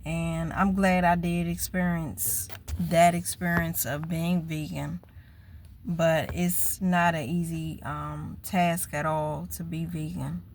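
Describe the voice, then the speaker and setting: Slow-spoken woman in her fifties. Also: low-pitched, level tone, a little hiss or hum. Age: 50s. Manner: slow, reflective, earnest. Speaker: vlogger. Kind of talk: casual personal vlog.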